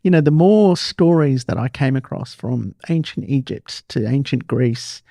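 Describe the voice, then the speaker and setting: A man's voice: medium pitch, expressive, measured pace, vocal fry, warm tone, no room sound, clean studio sound. A man with an Australian accent hosting a casual conversational interview, curious and often restarting his thoughts mid-sentence. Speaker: podcast host.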